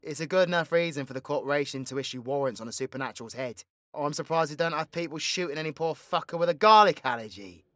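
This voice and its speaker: A man's voice: fairly high pitch, quick pace, fairly bright tone, no echo, clean studio sound, lightly acted. A British man playing a foul-mouthed, sardonic supervillain in an audio roleplay, mocking and full of casual slang. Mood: wry. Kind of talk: audio roleplay drama acting.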